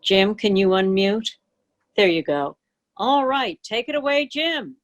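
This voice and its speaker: A woman's voice: mid-range voice, animated, a little slow. A woman in her sixties moderating a video call with casual technical housekeeping. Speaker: meeting moderator. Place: room at home, indoors. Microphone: device microphone on a video call.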